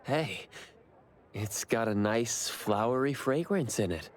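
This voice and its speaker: A man's voice: mid-range voice, animated, slow pace, slight background noise. A man playing an earnest, reserved young man in an anime game, speaking sincerely and with understatement. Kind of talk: anime game voice acting, conversational.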